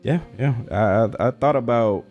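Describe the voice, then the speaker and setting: A man interviewing in a casual, informal chat, putting his questions haltingly with short affirmations. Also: mid-range voice, a little mellow, lo-fi sound, faint music behind.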